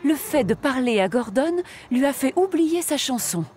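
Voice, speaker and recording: A woman narrating a children's cartoon in a steady storybook manner. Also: high voice, moderate pace, a little hiss or hum. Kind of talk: scripted narration. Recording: cartoon soundtrack with light music.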